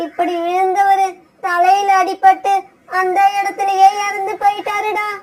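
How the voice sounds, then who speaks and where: Woman voicing a plaintive, very high-pitched mother crow. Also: monotone, measured pace. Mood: grieving. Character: tearful old widowed mother crow, betrayed and remorseful. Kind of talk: Tamil animated bird fable dialogue.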